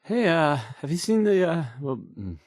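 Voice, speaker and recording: Super awkward shy young radio host from a game, stammers and second-guesses everything, dry studio sound. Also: male voice, fairly high pitch, big pitch swings, moderate pace, dry recording. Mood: flustered, unsure. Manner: stammering, hesitant, self-doubting, trailing off. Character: painfully shy, nervous, awkward male radio DJ. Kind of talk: video game character acting.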